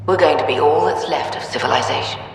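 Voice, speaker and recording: Resolute leader, heavily echoing. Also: female voice, low-pitched, creaky. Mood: grave, resolute. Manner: deliberate, authoritative. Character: resolute, secretive visionary leader planning for catastrophe. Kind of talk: video game dramatic acting. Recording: echoey hall, distant, faint hum.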